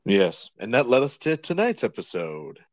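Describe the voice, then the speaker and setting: A man's voice: lowish voice, sing-song, moderate pace, dry recording. A young man co-hosting a podcast in casual chat, teasing his partner playfully. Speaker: podcast co-host.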